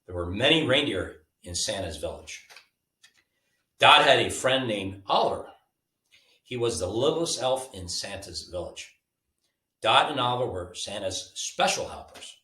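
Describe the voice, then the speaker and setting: A man with a lowish voice reads a children's bedtime story, his pitch moving in lively swings. He is informal and animated. The recording is roomy, with the mic at a distance. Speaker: storybook reader.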